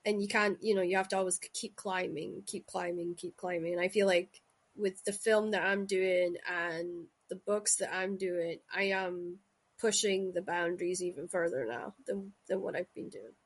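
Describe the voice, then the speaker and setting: A woman's voice: on the low side, steady tone, breathy edge. A woman with a Scottish accent hosting a podcast interview, chatty and teasing as she calls her guest out. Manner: teasing, chatty, quick to call people out. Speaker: podcast host. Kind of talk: casual conversational interview.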